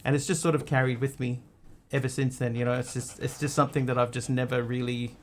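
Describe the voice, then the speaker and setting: A man's voice: medium pitch, little pitch movement, fast, a little hiss or hum. A man who grew up in Australia, chatting casually to his stream audience in a rambling, hesitant way with lots of ums and restarts. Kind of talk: casual live-stream chat.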